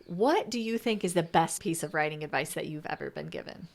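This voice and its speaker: A woman's voice: sits low, brisk, vocal fry. A woman hosting a casual podcast interview, chatty and informal, often rephrasing herself as she goes.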